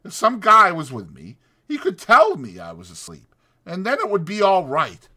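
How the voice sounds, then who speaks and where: A man performs a bitter, brooding stable hand from a dramatized audiobook, lonely and scornful. The voice sits high and swings widely, with bright, emphatic, folksy rural speech. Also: wildly swooping pitch, normal speed, full character voice. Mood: lonely, plaintive. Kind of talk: dramatized audiobook character acting.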